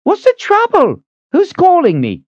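A man's voice: extremely high pitch, wildly swooping pitch, unhurried, no room sound, voice pushed up, exaggerated voice. A man voicing a thin older woman, a head cook, speaking in an uneasy, broken voice. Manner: quavering, broken voice. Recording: dull lo-fi audio.

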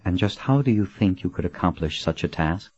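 Stern woman in command, controlled menace, read by a man; low voice, lo-fi. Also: animated, brisk, darkish tone, no echo, faint music behind. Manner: firm, threatening, controlled. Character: stern commanding woman leader, cold and firm.

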